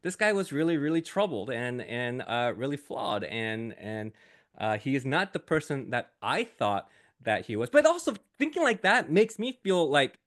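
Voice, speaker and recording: A man who is a guest on a podcast, talking in a casual, animated way and laughing often. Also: mid-range voice, moderate pace.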